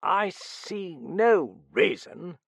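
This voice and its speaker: A man voicing an angry, defensive gentleman in a mystery story, indignant and protesting. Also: high voice, animated, drawn-out words, vocal fry, dry recording, pitched above the performer's own voice, exaggerated voice. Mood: irritated protest.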